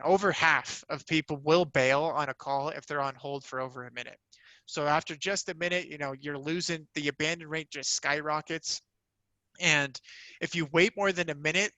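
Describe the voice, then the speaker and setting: A man's voice: on the high side, sharp tone, no echo, low-quality recording. A man giving an informal, upbeat sales presentation as a company representative, conversational and peppered with "you know".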